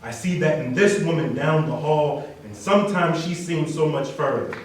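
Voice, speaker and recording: A man's voice: on the high side, animated, normal speed. A man speaking as a wedding reader, introducing and reciting poetry to an audience. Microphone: distant from speaker. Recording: echoey room, distant mic, faint noise.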